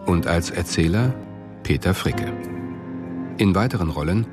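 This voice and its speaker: A man's voice: sits low, animated, unhurried, over music. A man reading a scripted title and cast announcement for a radio drama.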